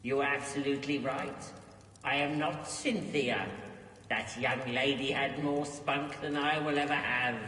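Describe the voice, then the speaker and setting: A man's voice: mid-range voice, steady tone, measured pace, sharp tone. A man playing a bluntly spoken ghost in a video game, with an old-fashioned, declamatory manner. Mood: candid, wistful. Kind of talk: scripted game dialogue. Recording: distant, echoing hall reverb with noise.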